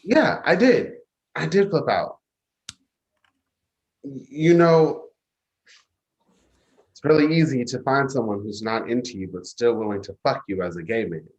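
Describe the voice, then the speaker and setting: Halting, insecure young-lover type, swinging pitch man acting. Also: lively intonation, lo-fi sound, close to an everyday voice. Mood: defensive, vulnerable. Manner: halting, self-interrupting. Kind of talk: staged play reading, naturalistic dialogue.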